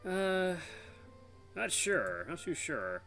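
A man's voice: high-pitched, big pitch swings, very slow, creaky, sharp tone, smooth, faint music behind, pitched above the performer's own voice, full character voice. A man voicing a modest, hesitant young ice cream trainee in a visual novel read-aloud. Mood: unsure.

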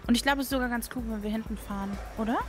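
A woman's voice: on the high side, soft background music. Chatty woman with a raised voice. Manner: chatty, quick reactions and questions. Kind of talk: casual roleplay chat over voice chat.

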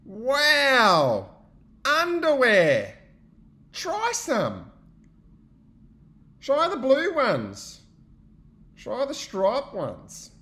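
Guy putting on squeaky little woodland critters, way high, swoopy, impatient, shouty kids book stuff. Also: huge pitch swings, drawn-out words, long pauses, full character voice. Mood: excited. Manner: shrill, exclamatory, urging. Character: impatient, excitable crowd of small forest animals.

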